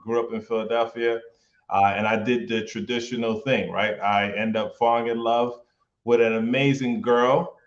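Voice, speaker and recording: A man's voice: mid-range voice, fairly even intonation, moderate pace. A man from New York chats loosely and with plenty of energy, dropping slang as he talks. His voice comes over a video call from a roomy, echoing space. The sound is muffled and lo-fi. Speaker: real estate investor guest. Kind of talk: casual livestream interview. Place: home in Atlanta, echoey room. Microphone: webcam or camera mic on a video call. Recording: echoey, dull video-call audio.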